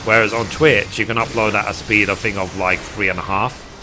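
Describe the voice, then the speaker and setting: A man's voice: lowish voice, steady tone, a little fast. A man with a British accent chatting casually and at rambling length with his live stream viewers as a gaming streamer. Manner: rambling, reading chat aloud and replying. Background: music.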